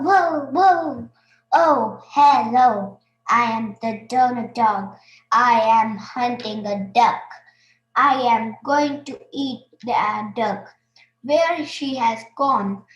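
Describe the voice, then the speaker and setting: A woman acting as a hungry hunting dog, barking, high-voiced, slow, echoey room. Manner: barking, bold and boastful. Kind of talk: stage play performance.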